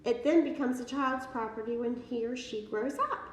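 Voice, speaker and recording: A woman voicing a gentle young schoolteacher who talks in an earnest, confiding way in a storybook reading. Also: a little high, moderate pace, close to an everyday voice. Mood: candid, resigned. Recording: roomy, distant mic, faint noise.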